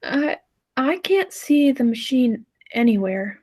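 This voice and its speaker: A woman's voice: sits high, sing-song, takes it slowly, a little mellow, heavily acted. A woman playing a time traveler's uneasy, doubtful companion in a 1940s-style radio play, earnest and hesitant. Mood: alarmed.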